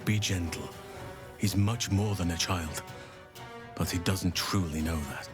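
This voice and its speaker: A grave but gentle druid, with a deep voice. He speaks scripted fantasy game dialogue over music. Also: unhurried. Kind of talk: video game character dialogue.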